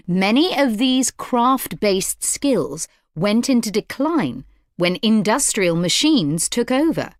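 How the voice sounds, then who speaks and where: Female lecturer, slow measured pace, articulate, explanatory, scripted lecture, dry close sound. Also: medium pitch, no room sound. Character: fashion design lecturer addressing a class.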